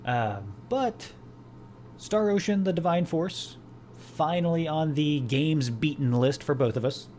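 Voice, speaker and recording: A man's voice: fairly high pitch, lively intonation, unhurried, darkish tone, a little hiss or hum. Rambling male podcast host. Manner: rambling, many fillers and restarts. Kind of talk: casual chat.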